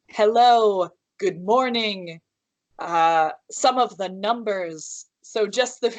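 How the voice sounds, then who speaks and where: A woman from the United States who teaches English, answering interview questions in a measured way, often restarting and repeating her phrases. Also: mid-range voice, fairly bright tone, no room sound, low-quality recording. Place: room at home with calligraphy on the wall.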